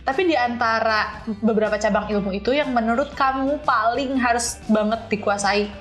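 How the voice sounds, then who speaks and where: A woman's voice: on the high side, fairly quick. A woman hosts a casual chat, asking curious questions and restating answers. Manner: informal, inquisitive, often restating answers. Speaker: podcast host. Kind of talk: casual chatty interviewing. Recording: roomy echo, faint music behind.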